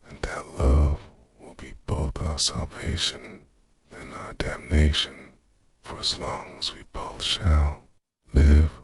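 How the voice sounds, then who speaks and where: Guy doing a super deep gravelly vampire boyfriend, ancient n guilt-ridden, half whispering slow asmr confession. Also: little pitch movement, heavily acted. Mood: devoted, sorrowful. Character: centuries-old male vampire lover, obsessive, guilt-ridden, brooding. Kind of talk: intimate ASMR roleplay monologue.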